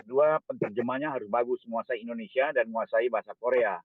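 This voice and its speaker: A man in an online meeting, forcefully urging bold, direct action. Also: mid-range voice, steady tone, quick pace. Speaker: meeting participant. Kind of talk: casual online meeting discussion. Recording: tinny phone-like call line.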